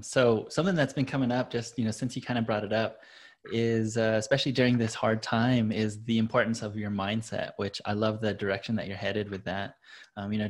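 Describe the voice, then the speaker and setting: A man hosting an interview, encouraging and casual, with "um" fillers. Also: on the low side. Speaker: podcast host.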